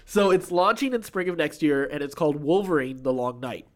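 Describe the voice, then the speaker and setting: A man's voice: on the high side, animated, fairly quick. A man hosting a podcast, reading entertainment news with informal, enthusiastic, chatty asides. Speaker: podcast host.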